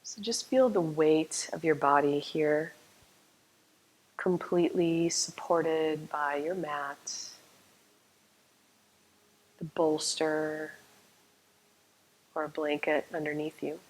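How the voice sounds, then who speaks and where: A woman guides a meditation in a low, calm voice. Her delivery is slow and soothing, with little rise or fall in pitch. She leaves long pauses between phrases, as a yoga nidra teacher does. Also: poor audio.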